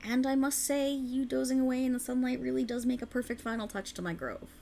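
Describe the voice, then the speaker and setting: Woman performing an ancient faerie enchantress for ASMR roleplay: creaky voice, courtly old-world phrasing, soothing, possessive, ambient noise underneath. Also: high voice, steady tone, fast talker, dark tone, voice pushed up. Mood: delighted, soothing. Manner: soothing, formal archaic phrasing, coaxing. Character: ancient alluring female faerie, tender yet sinisterly possessive.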